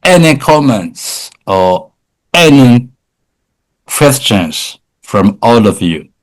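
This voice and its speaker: A male professor with a Chinese accent, giving a conference lecture in halting English with frequent repetitions and hesitations. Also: medium pitch, big pitch swings. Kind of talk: conference lecture and question answering.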